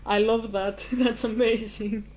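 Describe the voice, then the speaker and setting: A woman with a Greek accent, talking slowly to the camera with a slightly raised pitch. She often stops and restarts her sentences as she finds the English words. The delivery is loose and unscripted. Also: steady tone, darkish tone. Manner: halting, restarts while translating in her head. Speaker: channel host. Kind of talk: casual on-camera talk. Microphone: camera mic.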